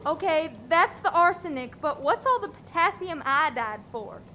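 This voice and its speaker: A woman plays an eager diner survivor in a farcical radio drama. Her voice is very high and bright, with clear noise behind it. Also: lively intonation, normal speed. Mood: curious, upbeat. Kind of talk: comic stage radio play acting.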